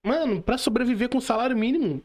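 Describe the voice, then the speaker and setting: A man's voice: very high-pitched, expressive, quick pace, a little mellow. A man with a Brazilian accent chatting casually as a podcast host. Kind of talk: casual conversational talk.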